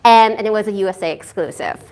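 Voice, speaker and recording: A woman with an American accent co-hosting a whiskey tasting night, briskly reading the lineup from a clipboard. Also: medium pitch, lively intonation, a little mellow. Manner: brisk, reads a list from a clipboard. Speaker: whiskey society co-host.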